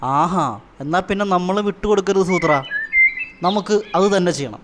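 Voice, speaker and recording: A fairly high male cartoon voice acted by a man for a slow-witted animal pal. He comes across as naive, earnest and a bit baffled. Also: slight background noise, lightly acted. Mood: curious, eager. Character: dim-witted, good-natured animal sidekick. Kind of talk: Malayalam children's cartoon comedy acting.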